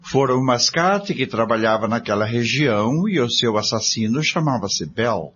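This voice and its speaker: A Brazilian man delivers scripted dramatic radio narration with animated intonation. The sound is a bit dull and carries a faint hum. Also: medium pitch, moderate pace.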